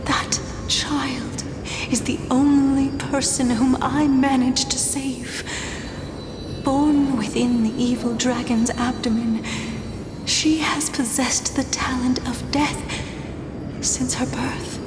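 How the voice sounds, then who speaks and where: Game role: mournful woman of a fallen city, high-pitched and solemn, cavernous reverb over music. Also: fairly even intonation, slow pace. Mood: pleading. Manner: solemn, formal, archaic storytelling. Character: sorrowful dying woman of a drowned, doomed city. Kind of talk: dramatic video game acting. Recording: echoing hall reverb under loud music.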